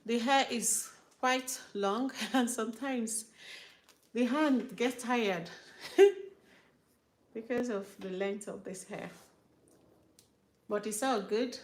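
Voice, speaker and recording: A woman's voice: on the high side, takes it slowly, pauses often. A middle-aged woman with a Nigerian accent, chatting casually to viewers as she does her daughter's hair at home, laughing often. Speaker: hair care vlogger and mother. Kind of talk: casual tutorial chat to viewers.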